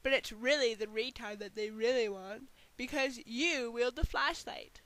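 A woman's voice: a little high, normal speed, a bit bright, close to an everyday voice. A woman voicing perky, casual young female game characters in a fan parody dub, on a hissy amateur recording.

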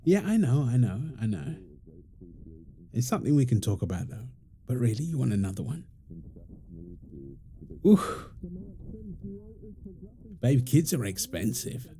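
A man playing a playful, affectionate young father in an intimate roleplay audio, chatting casually and softly as he teases. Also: mid-range voice, big pitch swings, measured pace, long pauses, slight background noise. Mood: surprised, teasing. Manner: teasing, soft-spoken, chuckling, informal.

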